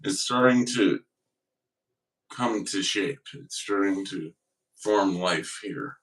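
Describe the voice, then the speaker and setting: A man's voice: low voice, slow, fairly bright tone, faint music behind. A man chatting casually as a guest songwriter, hesitant and self-deprecating in the way he talks. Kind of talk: casual chat. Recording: distant from the mic.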